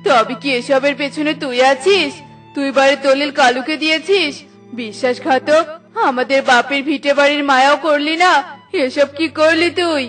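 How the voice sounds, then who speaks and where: Casting: proud older-brother bird, high voice, firm and indignant, played by a woman, slightly off-mic with music. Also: moderate pace, a bit bright, distant mic. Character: upright, stubborn male bird, sentimental older brother. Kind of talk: cartoon character voice acting.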